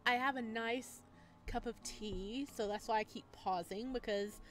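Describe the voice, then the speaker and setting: A woman's voice: fairly high pitch, a little slow, soft background music. A woman doing a visual novel let's play, reading text aloud and breaking off for chatty, casual asides. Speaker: gaming video host.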